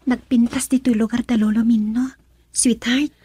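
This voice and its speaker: A woman's voice: a little high, fairly even intonation, normal speed, mellow, slight background noise, close to an everyday voice. A young woman playing a tender, anxious girl in love in an Ilocano radio drama. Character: tender, anxious young sweetheart afraid of her mother.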